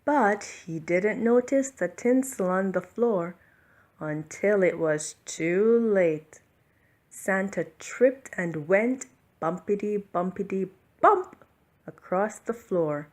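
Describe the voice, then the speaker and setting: Woman reading a bedtime story, slowly. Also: mid-range voice, a little mellow. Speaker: storybook reader. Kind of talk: children's bedtime story narration.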